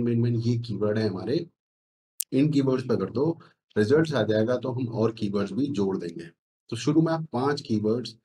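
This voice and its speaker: A middle-aged man giving a self-assured screen-share sales pitch as a consultant, repeating phrases for emphasis. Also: dark tone. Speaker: SEO consultant. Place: indoor room, screen recording with webcam.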